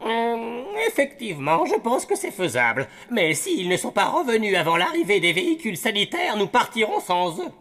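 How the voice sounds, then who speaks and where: A man's voice: sits high, sing-song, normal speed, hardly any pauses, a bit bright, heavily acted. A man voicing a smug, formally polite official in a cartoon. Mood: coldly formal. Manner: formal, falsely courteous. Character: smug, coldly polite male official ordering a quarantine. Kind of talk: cartoon voice acting.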